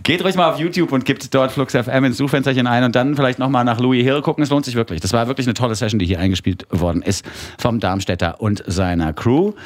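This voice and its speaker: A man's voice: medium pitch, no echo, studio quality. A man co-hosting a radio podcast, joking around in a broad Hessian dialect. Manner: joking, drawn-out dialect talk. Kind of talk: casual banter, puts on Hessian dialect.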